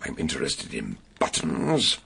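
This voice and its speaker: An actor playing an eccentric, brilliant older British detective doctor in a radio drama, ponderous, emphatic and theatrical. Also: male voice, a bass voice, huge pitch swings, a little slow, gravelly, a bit bright, breathy edge, full character voice. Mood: ponderous, musing.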